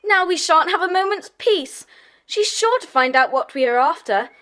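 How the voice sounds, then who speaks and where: High-voiced earnest British child, acted out. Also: lively intonation, moderate pace, sharp tone, exaggerated voice. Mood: worried. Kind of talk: children's audio drama acting.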